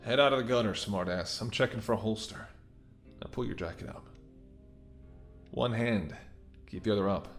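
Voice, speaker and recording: A man's voice: lowish voice, normal speed, pauses often, lightly acted. Man acting a menacing, sardonic mercenary over music. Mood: taunting. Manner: taunting, sardonic, swearing, drops to whispers. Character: menacing, smirking male mercenary ex-bodyguard holding someone at gunpoint. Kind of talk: dramatic audio roleplay spoken to the listener.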